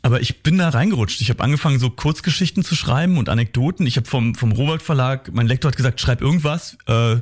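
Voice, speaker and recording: A hoarse middle-aged man from Berlin, a guest author chatting in a radio studio with self-mocking jokes. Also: medium pitch, quick pace, few pauses, darkish tone. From West Berlin, Germany. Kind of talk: casual interview chat. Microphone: studio microphone.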